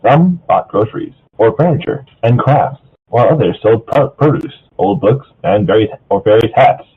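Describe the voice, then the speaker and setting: A man's voice: medium pitch, expressive, moderate pace, breathy edge. A man reading fan fiction casually with a group, stumbling over the odd word. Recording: thin phone-like voice chat.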